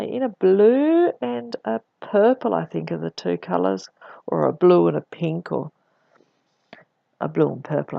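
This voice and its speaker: A woman narrates her crafting in a relaxed voice-over, her voice croaky and husky from a cold. Heavy gravel runs through her middle-pitched speech. The recording is dry and a little dull, like a lo-fi home setup. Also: no echo. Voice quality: deep and husky. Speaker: scrapbooking vlogger.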